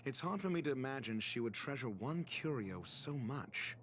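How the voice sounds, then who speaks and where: A man's voice: medium pitch, expressive, moderate pace, a bit bright, slight background noise, lightly acted. An actor playing an arrogant, impatient male scholar in a video game, questioning with condescension and sarcasm. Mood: skeptical, probing. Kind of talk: scripted video game voice acting.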